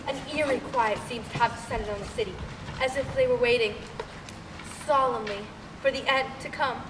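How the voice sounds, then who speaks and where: A woman's voice: fairly high pitch, moderate pace, lightly acted. A woman playing a solemn, overwrought radio announcer with grave, dramatic delivery in a stage radio play. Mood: solemn dread. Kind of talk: mock 1930s radio broadcast acting on stage. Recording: distant echoey hall, clear noise.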